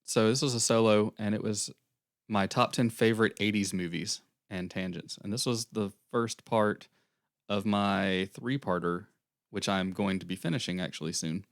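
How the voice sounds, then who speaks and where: A young man co-hosting a casual podcast, slightly hoarse as he loses his voice, rambling through a recap with fillers. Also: lowish voice, moderate pace, no echo, studio quality. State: losing his voice, airy. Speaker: podcast co-host. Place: small podcast room.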